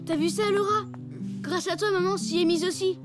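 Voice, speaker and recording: A woman's voice: extremely high pitch, steady tone, moderate pace, music in the background. A woman voicing an earnest, eager young schoolboy in a cartoon, with a childlike way of talking. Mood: hopeful. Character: earnest, eager young schoolboy who admires his mother. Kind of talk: French cartoon dubbing.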